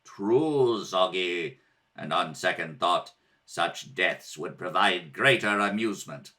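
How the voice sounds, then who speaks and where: Man playing a slow, deep, menacing tyrant emperor. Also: animated, sharp tone, heavily acted. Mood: cold menace. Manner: slow, imperious, gloating. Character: Ming the Merciless, menacing, cruel male tyrant emperor. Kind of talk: radio serial acting.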